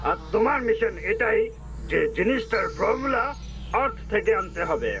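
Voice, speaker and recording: A stern alien commander in a cartoon. The voice sits very high and comes through a muffled, lo-fi radio line. Music plays clearly behind it. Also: male voice, expressive, normal speed, fairly bright tone, heavily acted. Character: alien commander giving orders.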